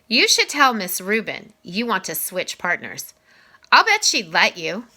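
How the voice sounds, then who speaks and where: A woman's voice: mid-range voice, huge pitch swings, a little slow, pushed and bright, heavily acted. A woman voicing a smirking, pushy schoolboy who taunts and goads his classmate in a storybook. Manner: taunting, goading.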